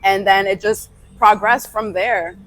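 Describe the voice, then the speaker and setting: A young woman from New York, Spanish her first language, talks through her path as a guest in an easy, storytelling way. Her voice sits at an ordinary middle pitch for a woman. She laughs readily between thoughts. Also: a little slow, dry recording, low-quality recording. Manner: relaxed storytelling, laughs easily. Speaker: guest musician. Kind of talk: casual interview talk.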